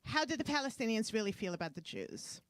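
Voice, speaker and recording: A brash woman comic from Canada, with a Brooklyn-sounding accent and a fairly high voice. She rattles off self-mocking punchlines into a handheld mic on a club stage. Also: big pitch swings, quick pace, no room sound. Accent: Brooklyn-sounding English. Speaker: stand-up comedian. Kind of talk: stand-up comedy set. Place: club stage before a live audience.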